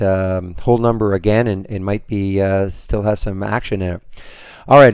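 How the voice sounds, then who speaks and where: A hoarse man moderating a trading room, talking through his trades on a screen share in a rambling way, full of restarts and fillers. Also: lowish voice, mellow, dry recording.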